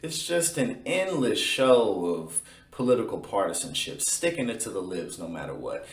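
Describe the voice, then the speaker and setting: A man hosting online political commentary, talking in an animated, indignant way. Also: lowish voice, normal speed, roomy sound, far from the mic.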